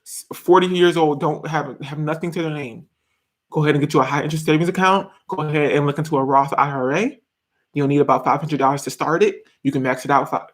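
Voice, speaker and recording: A man in his twenties chatting casually on a live stream from his bedroom, reading comments, laughing often and restarting his sentences. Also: on the high side. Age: 20s. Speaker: YouTuber reading live chat. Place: small bedroom, indoors.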